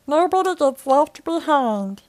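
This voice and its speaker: A woman's voice: high-pitched, animated, a little slow, a little mellow, no room sound, pitched above the performer's own voice. A woman voicing a small, unruly but loyal male alien creature from a storybook. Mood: earnest, loyal. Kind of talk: storybook character dialogue.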